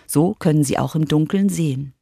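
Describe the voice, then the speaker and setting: A woman's voice: low-pitched, fairly quick, creaky, mellow, dry recording, studio quality. A woman narrating a scripted children's radio feature as its reporter.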